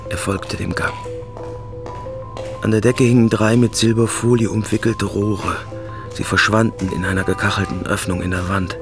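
A man's voice: on the low side, gravelly. A man giving scripted narration in a radio crime drama.

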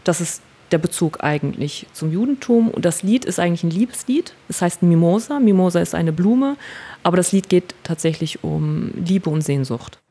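A woman's voice: lowish voice, expressive, normal speed, dark tone. A woman, a singer and archaeologist, answering interview questions in a plain, matter-of-fact way.

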